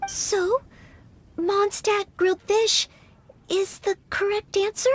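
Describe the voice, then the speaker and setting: An actress playing an earnest, polite young maid in a video game, speaking courteously and carefully. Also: female voice, extremely high pitch, steady tone, slow. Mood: hopeful. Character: earnest, polite, eager young maid girl training as knight. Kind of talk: video game character acting. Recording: game music under the voice.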